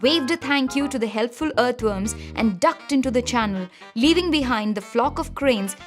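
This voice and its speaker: A woman's voice: on the high side, lively intonation, moderate pace, faint music behind. A woman narrating a scripted children's story as a storyteller.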